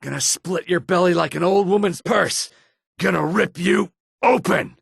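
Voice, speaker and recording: A man voicing a reptilian Argonian assassin and outlaw in a video game, with a rasping, husky voice. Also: high voice, unhurried, no room sound, clean studio sound, full character voice. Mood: menacing, taunting. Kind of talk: video game character dialogue.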